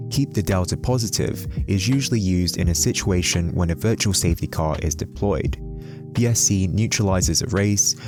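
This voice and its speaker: A man giving a scripted explainer narration as the host of a video. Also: low voice.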